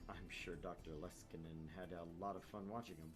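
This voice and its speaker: Man, fairly deep voice, visual novel narration, casual asides, gaming host, background music. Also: fairly even intonation, fairly quick.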